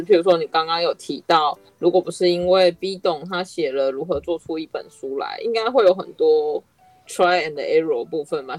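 Taiwanese woman host, slightly low voice. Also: a little mellow, dry recording. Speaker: podcast host. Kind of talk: casual interview chat.

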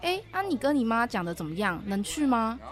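A woman's voice: a little high, big pitch swings, a little fast. A woman playing a blunt, teasing young school classmate in an amateur audio drama. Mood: teasing, doubting. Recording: crowd chatter behind the voice.

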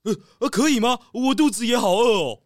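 A woman's voice: on the high side, lively intonation, a little fast, dry recording, studio quality, lightly acted. A woman voicing an encouraging, forgiving young animal athlete in a children's audio drama. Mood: hesitant.

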